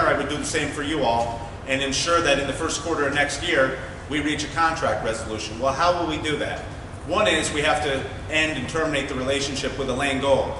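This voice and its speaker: A man running for mayor, laying out his plans at a forum in a measured, methodical way with frequent "uh" fillers. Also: mid-range voice. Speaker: mayoral candidate. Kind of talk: campaign forum speech. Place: large meeting hall. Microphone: distant room mic. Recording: echoey hall from far off, noisy.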